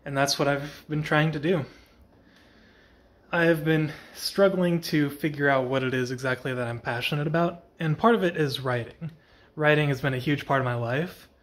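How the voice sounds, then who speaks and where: A young man chatting casually in a vlog, tired with a slightly scratchy voice, rambling and correcting himself as he goes. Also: a little high. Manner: rambling, self-correcting, soft-spoken. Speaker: vlogger. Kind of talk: casual after-work vlog chat.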